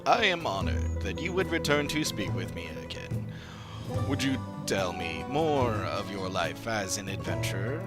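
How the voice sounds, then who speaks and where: A man voicing a large, courteous and earnest guardian creature from a video game, speaking in formal, measured phrases. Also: fairly low pitch, sing-song, over loud music.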